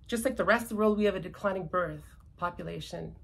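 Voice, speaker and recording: A woman's voice: on the low side, fast, creaky. A Canadian woman with an accent she credits to British loyalist ancestry, talking politics in an emphatic, repetitive, ranting way. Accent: British-descended Canadian. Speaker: commentator. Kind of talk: casual political chat.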